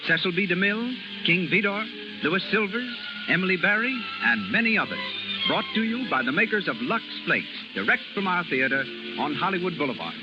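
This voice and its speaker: High-pitched, animated 1930s American radio announcer. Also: male voice, fairly quick. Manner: formal, showmanlike. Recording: dull 1930s radio recording with music.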